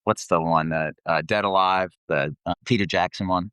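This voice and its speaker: A man's voice: low voice, fairly even intonation, normal speed, fairly bright tone, smooth, dry recording. A man chatting casually as an interview guest, rambling and self-deprecating, with some swearing. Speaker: guest, metal band vocalist. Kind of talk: casual chat.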